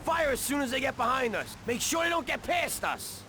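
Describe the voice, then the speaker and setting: A man's voice: mid-range voice, big pitch swings, moderate pace, creaky, fairly bright tone, a little hiss or hum. A man playing a cocky, hot-tempered 1930s American mobster in a video game, with brash, slangy street talk. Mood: urgent. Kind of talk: dramatic video game voice acting.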